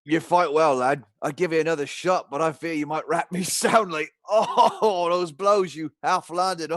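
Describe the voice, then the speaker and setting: An actor playing a good-humored aging fighter who is skilled with a quarterstaff, speaking with a chuckling, self-mocking manner. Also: male voice, a little high, unhurried, fairly bright tone. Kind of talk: stage radio play acting.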